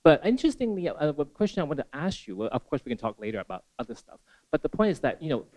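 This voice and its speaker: A man's voice: fairly high pitch, fast talker, low-quality recording. Digressive man from Hong Kong asking a question. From grew up in Hong Kong. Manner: animated, digressive, lots of "you know". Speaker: audience member asking a question. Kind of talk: Q&A question from the floor.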